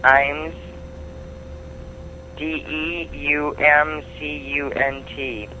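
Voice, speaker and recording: A man on a phone call, answering in a plain, deliberate, unruffled manner. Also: on the high side, level tone, sharp tone, slight background noise. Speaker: call taker, prank call target. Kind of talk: phone conversation.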